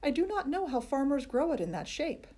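A woman doing a loud spunky little schoolgirl. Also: high-pitched, brisk, pitched above the performer's own voice. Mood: eager. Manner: excited, boastful, emphatic. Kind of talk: audiobook character voice.

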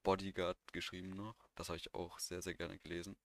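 A man's voice: sits low, flat delivery, a little fast, dry recording. A young man talking informally and with slang as co-host of a casual podcast. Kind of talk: casual chat.

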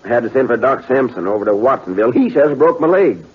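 Cantankerous small-town western doc, radio drama, rough husky voice, folksy grumble, narrow old-radio sound. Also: male voice, medium pitch, lively intonation, fast. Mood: crotchety. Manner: crotchety, folksy, grumbling, chuckling. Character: cantankerous, garrulous frontier-town doctor and mayor. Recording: tinny vintage radio recording.

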